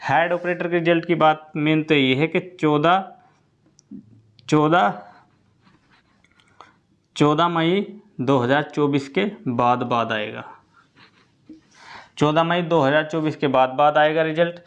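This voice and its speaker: Repetitive, somewhat high-voiced male explainer. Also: slow pace, pauses often, highs cut off. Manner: repetitive, keeps restating points and dates; addresses listeners directly throughout. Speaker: exam-update video host. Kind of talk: casual spoken explainer to viewers.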